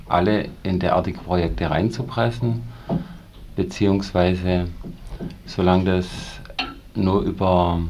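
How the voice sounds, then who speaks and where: A man's voice: sits low, darkish tone. A man taking part in a panel discussion, putting forward his own opinion in unscripted speech. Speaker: panel participant. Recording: faint background noise.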